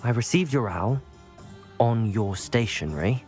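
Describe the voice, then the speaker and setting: Principled student game hero, British, courteous, composed, varied intonation, soft background music. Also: male voice, fairly low pitch, lively intonation, measured pace. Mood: firm. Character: earnest, polite, principled teenage schoolboy wizard. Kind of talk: video game voice acting.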